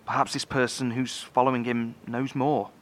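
A man's voice: medium pitch, little pitch movement, moderate pace, a bit bright, natural voice. A man playing a fretful, inquisitive bunker dweller in a horror audio drama, talking in a brooding, questioning way. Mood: pondering, frustrated.

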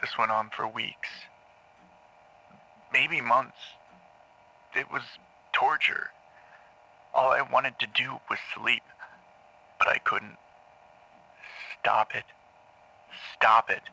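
An actor playing a troubled man who haltingly recounts a tormented childhood to a therapist in an audio drama. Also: mid-range voice, steady tone, gravelly, bright, forceful tone, slightly rough, heavily acted, poor audio. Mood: agitated. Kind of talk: audio drama monologue on taped therapy session. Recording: old cassette tape playback.

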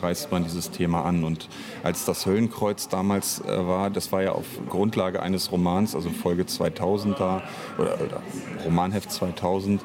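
A man giving unscripted interview answers in a rambling, halting way as a writer and director. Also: sits low. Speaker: interview guest, audio drama author and director.

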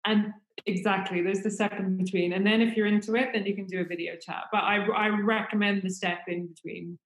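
A woman's voice: mid-range voice, fairly even intonation, fairly quick, echoey room, low-quality recording. A woman with an Irish accent chatting casually and at length, with plenty of fillers. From Ireland. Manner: chatty, rambling with many fillers. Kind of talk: casual chat.

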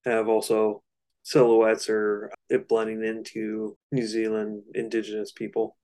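A man with a fairly low voice talks through an album as a podcast co-host. His delivery is casual and explanatory, with some hesitant searching for the right phrase. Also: completely flat delivery, measured pace, mellow, low-quality recording.

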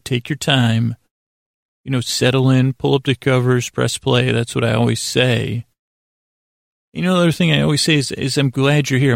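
A man with a creaky voice hosting a sleep podcast, meandering softly through a soothing bedtime monologue, halting, repeating himself and gently goofy. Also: medium pitch, no echo, studio quality. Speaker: podcast host.

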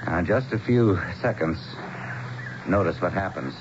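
An actor playing an earnest, anxious, educated town leader, speaking formally and haltingly as he explains, in a radio drama. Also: low-pitched. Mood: earnest, eager. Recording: muffled, noisy 1970s radio broadcast.

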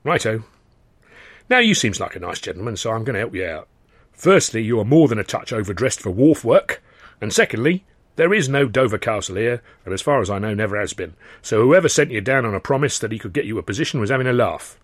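A man voicing a gruff, long-winded male dock gatekeeper with a condescending, mock-formal manner. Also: lowish voice, very animated, fast talker, no echo. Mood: knowing.

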